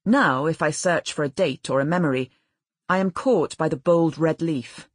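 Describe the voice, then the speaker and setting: Female audiobook narrator, low-pitched and husky, measured literary read with expressive delivery. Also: dry recording, studio quality.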